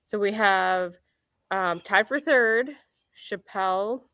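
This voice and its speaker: A woman's voice: medium pitch, slow, a bit bright, dry recording. Woman, host-realtor type, chatty and upbeat, dry close sound, mid-range voice. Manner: chatty, upbeat, self-correcting. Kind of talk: casual real estate chat. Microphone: computer mic near a keyboard.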